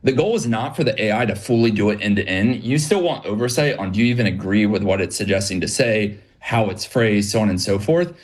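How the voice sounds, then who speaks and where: A man's voice: fairly low pitch, animated, a little fast, hardly any pauses. A man as an interview guest, explaining his business in an upbeat, practical way. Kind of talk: interview answers.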